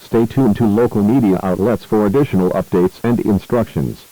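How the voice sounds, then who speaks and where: A man reading a scripted emergency broadcast as an announcer, in a formal, grave and measured manner. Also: lowish voice, mellow, dry recording, poor audio. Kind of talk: scripted emergency alert narration.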